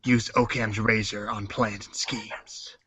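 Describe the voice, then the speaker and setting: A man voicing a sinister gangster boss full of simmering rage, narrating schemes in a deliberate, theatrical storybook read-along style. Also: on the low side, flat delivery, sharp tone, husky, no room sound, full character voice.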